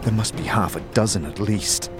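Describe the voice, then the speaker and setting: A man narrating a fantasy audio drama with measured, theatrical storytelling in a dramatic scripted style. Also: lowish voice. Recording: prominent music behind the narration.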